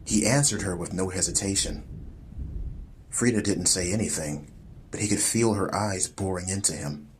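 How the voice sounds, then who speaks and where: A man narrating a scripted audiobook story in a measured, deliberate, dramatic storytelling style. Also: low-pitched, slight background noise.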